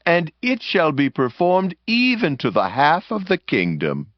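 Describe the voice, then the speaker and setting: A man's voice: fairly high pitch, wildly swooping pitch, a little slow, dry recording, muffled recording. Imperious king, wildly swooping voice. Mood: regal, commanding. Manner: grand, theatrical declamation. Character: imperious Persian king, commanding and quick to wrath. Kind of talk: dramatized audio Bible acting.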